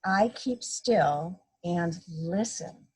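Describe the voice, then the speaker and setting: A woman's voice: on the low side, animated, drawn-out words, slightly rough. A woman reading a picture book aloud to young children, explaining things simply and playfully acting out sound effects. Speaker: guest storybook reader, a mother. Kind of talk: read-aloud children's story time, simple teacherly explaining.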